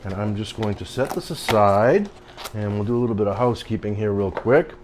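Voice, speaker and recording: Low-voiced man rambling through an unboxing. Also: a little fast, slight background noise. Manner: rambling, self-interrupting, easily excited. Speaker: product reviewer. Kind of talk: casual unboxing review. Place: home, later outdoors on a deck.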